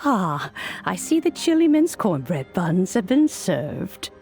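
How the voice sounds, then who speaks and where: A woman voicing an old, knowing, unhurried granny master in a game. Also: on the low side, sing-song, a little mellow, soft background music, heavily acted. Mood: calm. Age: older. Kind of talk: scripted game voice acting.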